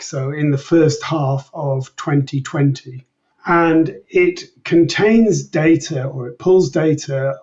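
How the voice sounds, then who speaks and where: A man with a fairly high voice, recorded a little distant and dull. He explains research as an interview guest, formally and precisely, in well-ordered sentences. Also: darkish tone. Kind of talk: measured expert explanation in an interview.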